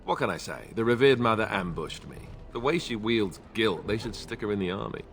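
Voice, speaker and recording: A man's voice: lowish voice, lively intonation, a little fast, vocal fry. An actor playing a flippant, wry young male warrior with a sheepish streak in a fantasy video game. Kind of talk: dramatic video game acting.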